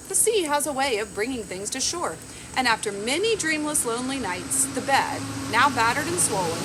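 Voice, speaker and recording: Higher-voiced woman reading a storybook outdoors. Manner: measured, expressive storytelling. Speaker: library storytime reader. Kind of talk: reading a picture book aloud. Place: outdoors on a garden bench. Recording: outdoor background noise with insects.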